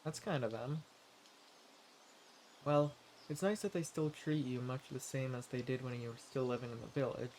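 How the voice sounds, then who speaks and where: A man playing a friendly, eager adventurer and forager in a fantasy roleplay audio drama, speaking politely and earnestly with gentle hesitation. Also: mid-range voice, normal speed, slight background noise, natural voice, rough recording. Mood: curious, polite. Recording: clear outdoor nature ambience with birdsong. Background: forest ambience, birds.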